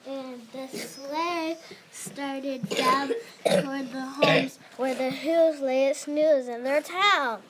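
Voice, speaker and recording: Child, high voice, emphatic halting read-aloud, class play narration, light noise. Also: fairly even intonation, a bit bright. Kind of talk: scripted reader's theatre narration.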